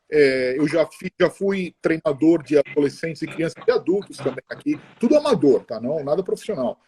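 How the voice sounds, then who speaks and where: Middle-aged guy, slightly high warm voice, rambles and restarts a lot, video call. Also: slightly rough. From Brazil. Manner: chatty, halting, many restarts and tag questions. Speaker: interview guest. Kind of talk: casual conversational interview.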